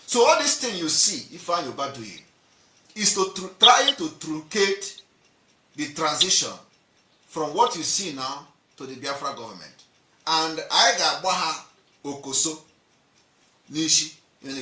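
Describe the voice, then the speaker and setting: A man's voice: a little high, pushed and bright. A man hosting a political livestream, defiant and piling up repeated rhetorical lists. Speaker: livestream host. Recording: roomy, distant from the mic.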